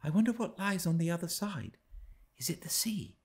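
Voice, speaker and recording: A man's voice: sits high, lively intonation, moderate pace, darkish tone, pitched above the performer's own voice. A man voicing an eager, curious, spirited young girl in a classic storybook reading, pleading and wondering.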